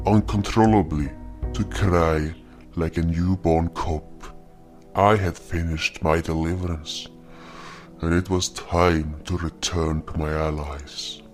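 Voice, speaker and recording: Man voicing a vengeful beast hunter, very low voice, solemn slow delivery, dramatic storytelling, music behind. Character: brooding, wounded, vengeful male beast hunter with paws. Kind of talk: dramatic first-person story narration.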